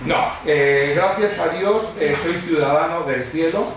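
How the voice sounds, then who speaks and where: A middle-aged or older man gives a personal testimony to a church congregation in a conversational, expressive, digressive way, telling humorous anecdotes. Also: on the high side, measured pace. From Madrid, Spain. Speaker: guest preacher giving testimony. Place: church hall before a congregation. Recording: very echoey hall, distant mic, faint noise.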